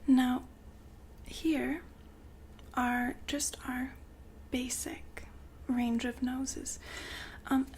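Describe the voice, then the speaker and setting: Woman acting as futuristic android saleswoman, ASMR whisper, courteous, soothing, even intonation, background noise. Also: on the high side. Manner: polite, unhurried, softly whispered customer-service talk.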